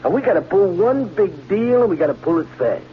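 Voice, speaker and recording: An eager, slow-witted American hoodlum from an old radio crime play. He talks slangily and repeats himself, in a fairly high, animated voice. The sound is muffled and a little noisy. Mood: earnest. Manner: plain slangy speech, hesitant repeats. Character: slow-witted, eager small-time crook sidekick. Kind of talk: old-time radio drama acting.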